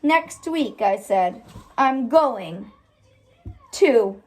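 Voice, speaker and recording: A woman's voice: a little high, husky, faint music behind, rough recording. A woman reading a picture book aloud expressively as a teacher, stopping to put simple questions to a child. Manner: animated, pauses to ask simple questions.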